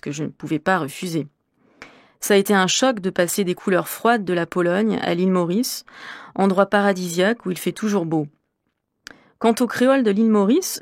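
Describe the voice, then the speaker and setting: A woman's voice: mid-range voice, darkish tone, no room sound, studio quality, lightly acted. A woman playing a middle-aged French teacher from Normandy, answering interview questions in an articulate, explanatory way for a language course. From Normandy, France. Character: middle-aged female French teacher, curious and well-travelled.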